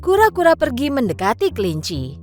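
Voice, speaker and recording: A woman narrating a children's cartoon story in a lively, expressive way. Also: sits high, a little fast. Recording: narration over loud background music.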